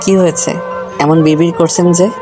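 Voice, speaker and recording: A man's voice: on the high side, flat delivery, fairly quick, music in the background, natural voice. A man playing a reserved, businesslike office boss with a formal, deflecting manner in an audio story. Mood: puzzled, modest. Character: reserved, businesslike married male office boss, inwardly torn. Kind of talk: Bengali audio story acting.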